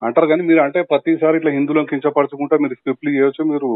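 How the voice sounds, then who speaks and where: A man's voice: a little high, flat delivery, racing, no echo. A man with a Telangana Telugu accent confronting someone as a caller, accusing, insistent and cutting in. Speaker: caller from an activist organisation. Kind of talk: confrontational phone conversation. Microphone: phone. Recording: muffled recorded phone call.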